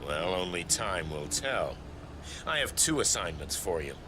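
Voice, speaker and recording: A man playing a guarded, authoritative executive in a video game, speaking directly in a measured, businesslike way. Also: deep voice, sing-song, background noise. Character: guarded, authoritative male executive briefing a hired killer. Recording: loud music bed.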